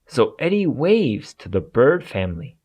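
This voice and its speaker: A man reads a children's storybook aloud with lively, swooping pitch. He takes his time over the words, and his voice has a slightly husky edge. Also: a little slow. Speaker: storybook narrator.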